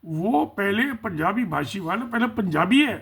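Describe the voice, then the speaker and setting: A man's voice: sits high, expressive, measured pace, creaky, a bit bright, breathy edge. A man giving casual spoken political commentary, musing and repeating himself, asking rhetorical questions.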